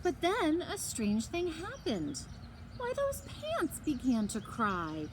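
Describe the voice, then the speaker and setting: A woman reads a storybook aloud in a high voice that swings widely in pitch. She leans into dramatic emphasis and stretches out key words for young listeners. The recording carries faint background noise. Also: wildly swooping pitch, slow pace. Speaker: librarian reading aloud to children. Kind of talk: expressive storybook read-aloud.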